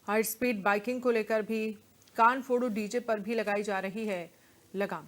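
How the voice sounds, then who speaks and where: A woman's voice: mid-range voice, fairly even intonation, normal speed. A woman, presenting live news and putting questions to a reporter as anchor.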